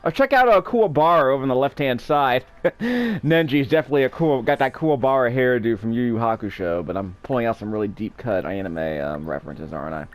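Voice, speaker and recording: Man doing animated, rambling game commentary. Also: medium pitch, fairly quick, no echo. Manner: rambling, digressive, with asides. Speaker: game video host. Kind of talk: casual gameplay commentary. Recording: game music behind. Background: video game music.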